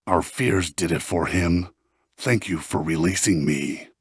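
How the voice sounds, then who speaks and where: Husky, very deep, weary man playing freed captive. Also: a little slow, no room sound, clean studio sound. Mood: relieved, wistful. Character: freed captive man, weary stamp lover, wistful and grateful. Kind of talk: dramatic character monologue.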